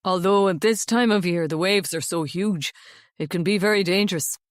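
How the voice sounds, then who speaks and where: A woman voicing a gentle young man, kind and grieving, speaking softly. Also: fairly low pitch, normal speed, no echo, clean studio sound, lightly acted. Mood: relaxed, chatty.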